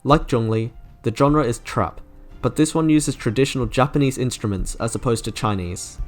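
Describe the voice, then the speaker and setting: A man delivers scripted review narration in the manner of an online video creator. His pitch is medium and his delivery is enthusiastic and opinionated. Music plays softly behind him. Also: moderate pace. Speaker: video creator reviewing game character trailers.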